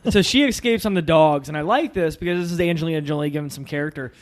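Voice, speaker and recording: A man's voice: on the high side, fast talker. A man co-hosting a film podcast, casually recapping a movie in loose conversation.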